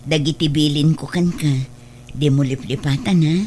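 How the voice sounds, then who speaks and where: A woman's voice: low-pitched, fairly even intonation, moderate pace, dark tone. A woman playing an earnest, self-sacrificing mother in a radio drama, caring and admonishing, with a Filipino accent. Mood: tender, advising. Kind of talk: Ilocano radio drama acting.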